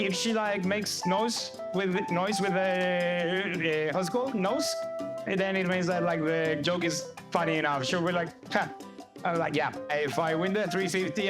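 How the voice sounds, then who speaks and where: Male comedian interviewee, Chilean Spanish accent, highish voice, casual delivery, music playing behind. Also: steady tone, normal speed. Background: background music.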